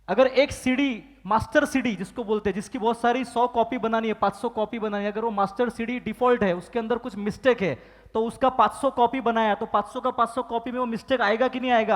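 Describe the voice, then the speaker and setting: High-voiced trainer in a big hall. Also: male voice, steady tone, a little fast. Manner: asks the crowd questions and demands loud answers; acts out phone calls and excuses in dialogue. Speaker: business trainer. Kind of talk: motivational training talk to an audience. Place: large hall with live audience. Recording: long hall reverb.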